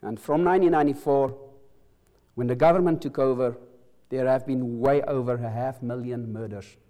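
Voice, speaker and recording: A middle-aged man with an Afrikaans accent, native Afrikaans speaker from South Africa, earnestly preaching a sermon and leading prayer, often halting and restarting words. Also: medium pitch, warm tone.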